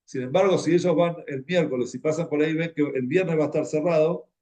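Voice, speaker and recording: A man with an Argentine Spanish accent giving an informal religious talk, telling anecdotes in a halting, conversational way and repeating words as he goes. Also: fairly high pitch, mellow. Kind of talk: informal sermon, anecdotal storytelling.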